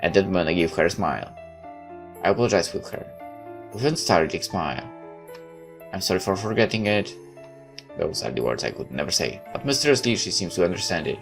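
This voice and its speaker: Male game host, deep voice with lively swings, narrating a visual novel dramatically, halting at times. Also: vocal fry, over music. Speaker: gaming video host. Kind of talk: reading a visual novel aloud with asides.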